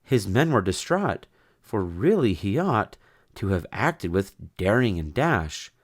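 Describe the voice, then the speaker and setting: Low-voiced man reading a story, very animated. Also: slow pace. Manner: expressive, theatrical storytelling. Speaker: storybook narrator. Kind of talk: dramatic rhyming children's story read-aloud.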